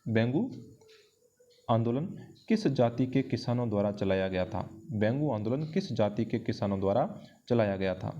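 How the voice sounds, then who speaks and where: A man's voice: mid-range voice, warm tone, slight background noise. A man teaching an exam-prep lesson, explaining and repeating key phrases for emphasis, recorded on a dull lo-fi microphone. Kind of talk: history lesson going through quiz questions.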